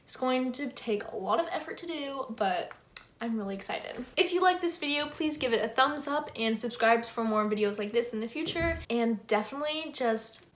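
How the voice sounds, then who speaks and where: A young woman with a fairly high voice talks casually to her viewers as a vlogger. Her delivery is enthusiastic and conversational, like an informal chat about favorite things. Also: expressive, normal speed, a bit bright. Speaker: book vlogger.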